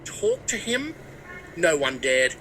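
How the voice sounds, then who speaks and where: A man playing a superstitious old small-town historian in a mystery drama, telling folksy tales with hesitant pauses. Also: fairly high pitch, big pitch swings, a bit bright, a little hiss or hum, full character voice, low-quality recording. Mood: hushed awe. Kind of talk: scripted mystery drama interview.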